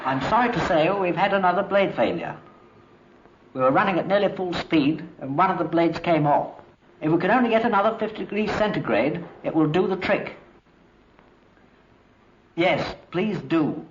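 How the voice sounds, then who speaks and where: A modest, earnest British engineer from a 1950s docudrama. The voice is a little high and clipped. The old soundtrack is dull with faint noise. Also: male voice, animated, normal speed, pauses often. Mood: urgent, apologetic. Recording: dull, noisy vintage film soundtrack.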